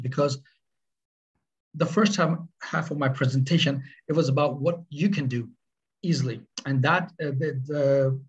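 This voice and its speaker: A middle-aged man with a Swedish accent, presenting on a webinar in earnest, halting speech. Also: mid-range voice, husky, muffled recording. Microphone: webcam on video call.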